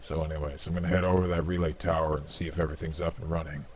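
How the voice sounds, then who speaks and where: A man's voice: rumbling low, level tone, very fast, darkish tone. A man playing a loyal, matter-of-fact soldier checking in with a comrade in a video game cutscene. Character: gruff loyal male field soldier Marcus on radio. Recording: faint background noise.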